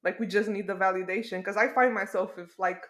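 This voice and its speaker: A woman from Romania hosting a podcast, asking questions in an animated, self-teasing way. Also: mid-range voice, fairly quick. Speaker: podcast host. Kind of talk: casual podcast conversation.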